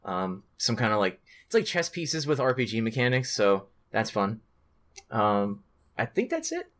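A man co-hosting a podcast in casual, excitable chat about video games, with slangy, sweary talk. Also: on the low side, a little slow. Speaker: podcast co-host.

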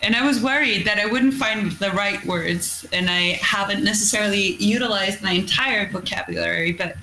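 Bright-voiced Norwegian woman, candid storyteller. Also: medium pitch, moderate pace, gravelly, slight background noise, poor audio. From Norway. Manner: candid, storytelling, blunt with mild cursing. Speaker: guest, coastal fisherman. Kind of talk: casual interview chat.

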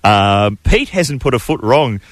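A man's voice: medium pitch, very animated, unhurried, no room sound, studio quality. A middle-aged man with an Australian accent hosting radio banter, reading odd news items with wry asides. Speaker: radio host.

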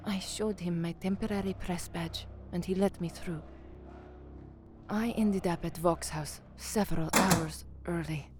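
A woman's voice: on the low side, steady tone, unhurried, noisy background, natural voice. A woman playing an earnest, anxious but defiant young radio newsreader in an audio drama. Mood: wry narration. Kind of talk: audio drama acting with first-person narration.

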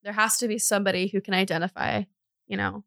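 A woman hosting a true crime podcast, telling the story conversationally with informal, animated asides. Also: mid-range voice, fast talker, vocal fry, dry recording, studio quality.

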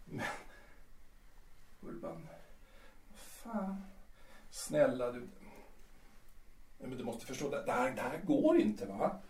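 A man's voice: medium pitch, sing-song, pauses often, darkish tone, natural voice. A man in an audio drama playing a lead actor who stammers and pleads in panic as a drug takes hold. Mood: panicked pleading. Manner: pleading, stammering, breathless protests. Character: panicked male star actor, drugged and fading. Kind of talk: amateur audio drama acting. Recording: roomy, distant mic.

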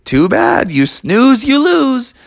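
Squeaky little moles, cheeky and smug, taunting from way up high, as a man puts them on. The voices sound gravelly and dry, right at the mic. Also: huge pitch swings, slow, no room sound, exaggerated voice.

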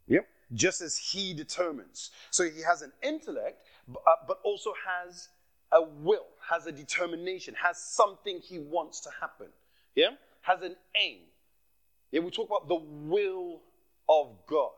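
A man's voice: a little high, measured pace, fairly bright tone. A man from Nigeria preaching as a guest speaker, passionate, often repeating his phrases and laughing at himself. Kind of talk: sermon with personal testimony.

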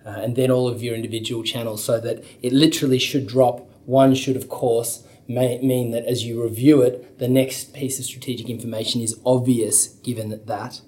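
A man's voice: mid-range voice, level tone, normal speed, hardly any pauses, a little mellow. A man who is a strategy consultant on a podcast, giving structured advice in a conversational way.

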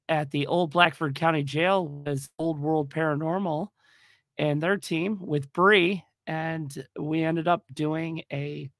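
A man hosting a livestream chat, hoarse from a cold, rambling with lots of fillers and restarts. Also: fairly high pitch, fairly bright tone, no room sound, studio quality. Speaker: podcast host. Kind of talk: casual livestream talk.

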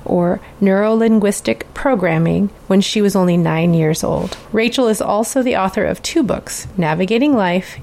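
A woman's voice: mid-range voice, vocal fry, a little mellow. A woman hosting an interview podcast in an informal, enthusiastic and affirming way. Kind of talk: casual interview chat.

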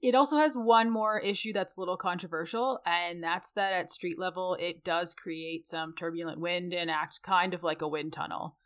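Canadian woman on a podcast, lowish voice, chatting casually and explaining stuff. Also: steady tone, moderate pace, hardly any pauses, a bit bright. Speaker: podcast co-host; mechanical engineer.

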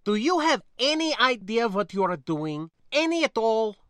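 A male narrator plays a proud young niece in an audiobook. The voice is raised high and bright, with formal, clipped phrasing. It is scornful and fiery, with worry underneath, and recorded very dry. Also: very animated, unhurried, dry recording, full character voice. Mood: scornful, heated.

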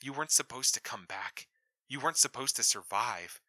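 A man voicing a female mission deputy speaking over a comm line, quick, breathless and sibilant, soft and close to a whisper. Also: mid-range voice, animated, slightly rough, dry recording, pitched above the performer's own voice, full character voice. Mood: urgent hushed. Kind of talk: audiobook character voice.

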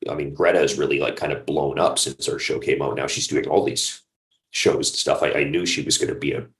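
A very low-pitched man speaks in an echoey room. He talks in a casual interview style, enthusiastic and rambling, with lots of "like" and "you know". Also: steady tone, a little fast, a bit bright. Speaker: audio drama creator and producer, guest.

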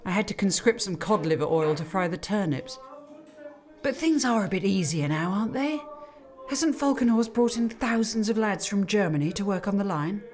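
An actress playing an affectionate, teasing wife in an audio drama, solicitous and wryly probing. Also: female voice, mid-range voice, lively intonation, normal speed, a little mellow, lightly acted. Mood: fond, wry.

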